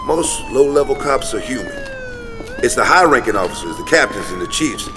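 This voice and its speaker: A man's voice: extremely high pitch, wildly swooping pitch, normal speed, full character voice. A man playing a paranoid, jaded homeless conspiracy theorist in an audio drama, speaking cryptically and with emphatic intensity. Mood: grim. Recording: reverberant space, faint traffic noise.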